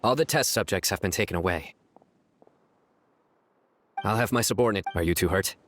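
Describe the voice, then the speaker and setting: An actor playing a stern, terse young officer in a game, clipped and matter-of-fact. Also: male voice, deep voice, fairly quick, fairly bright tone, slight background noise. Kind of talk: game cutscene dialogue.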